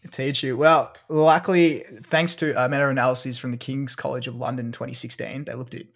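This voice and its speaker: An Australian man co-hosting a relaxed science podcast. He walks through research findings in an explanatory way, dotting his talk with ums and uhs at a middle pitch. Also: fairly quick, creaky. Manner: explanatory, with frequent fillers. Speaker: podcast co-host. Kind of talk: casual science chat.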